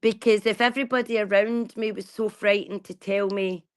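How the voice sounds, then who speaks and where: A woman's voice: medium pitch, steady tone, moderate pace, smooth. A woman, talking bluntly and casually to her viewers and swearing freely. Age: 40s. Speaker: vlogger. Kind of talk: casual chat.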